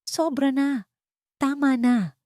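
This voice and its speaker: A woman acting a resolute wife, higher-pitched. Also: slow, a little mellow, no room sound, studio quality. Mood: firm. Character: Elena, resolute, wronged young wife keeping secrets.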